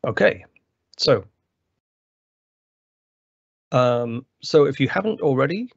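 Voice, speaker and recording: Gravelly-voiced man hosting a software tutorial, loose and full of fillers, on dry, dull-sounding audio. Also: medium pitch, lively intonation, slow pace, no room sound. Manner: rambling, many fillers and restarts. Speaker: presenter. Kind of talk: technical webinar walkthrough. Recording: dry, slightly muffled webinar audio.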